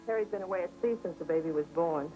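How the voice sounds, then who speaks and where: A woman's voice: on the low side, quick pace, phone-quality sound, over music, natural voice. An actress playing a worried, weary American wife and mother in a 1950s TV drama. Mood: subdued, polite.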